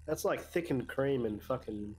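A man's voice: medium pitch, expressive, moderate pace, darkish tone, slight background noise, poor audio. A young man bantering crudely with friends over a game, playful and prone to singing snatches. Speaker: gamer on voice chat. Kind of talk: casual banter.

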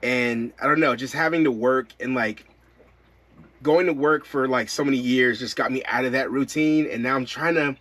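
A man in his thirties, a van-life vlogger, chatting casually to camera inside his camper van in a relaxed, rambling way with lots of fillers. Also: mid-range voice, normal speed.

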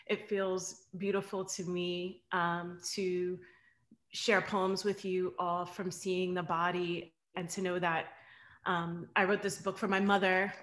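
An American woman, a guest poet, speaking informally and earnestly over a video call, with halting phrasing. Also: fairly low pitch, little pitch movement, a bit bright. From Delaware, United States. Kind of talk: informal introduction to a reading. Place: bright room at home, indoors. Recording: roomy, echoing video-call audio.

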